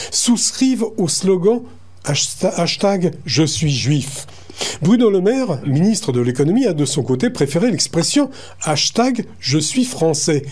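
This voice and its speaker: Mellow higher-voiced male radio host. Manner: measured, reading prepared lines. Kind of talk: interview questions, partly read.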